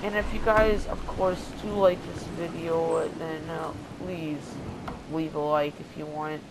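A gamer with a stuffy, congested voice giving casual, halting commentary over gameplay. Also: mid-range voice, flat delivery, noisy background. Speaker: gaming streamer.

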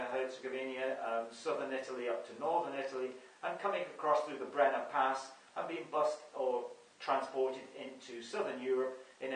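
Man, distant, echoey living room. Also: medium pitch. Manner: earnest, measured, with frequent fillers and restarts. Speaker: video broadcaster. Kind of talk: scripted-free monologue to camera. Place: living room, sitting on a sofa. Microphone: camera mic from across the room.

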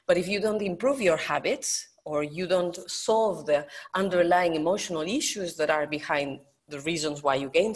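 A woman's voice: on the low side, slightly rough. A woman who speaks English with a Spanish accent, her first language being Spanish, explaining her work earnestly as a guest in an online interview over a video call, with many hesitant fillers. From Spain. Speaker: guest expert.

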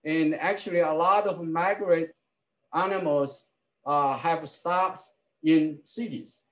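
Middle-aged man, academic keynote lecture, fairly high voice, halting with fillers, video call. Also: fairly even intonation, a bit bright. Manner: measured, halting delivery with many fillers and restarts. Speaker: keynote speaker, ecologist. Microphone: video call webcam.

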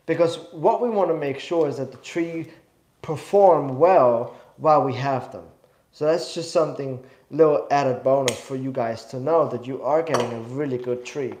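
A man's voice: mid-range voice, normal speed, darkish tone, roomy sound, rough recording. A young man from Switzerland with a slight cough, eagerly teaching in a live studio demo, rambling and correcting himself. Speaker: bonsai demo host. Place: indoor studio.

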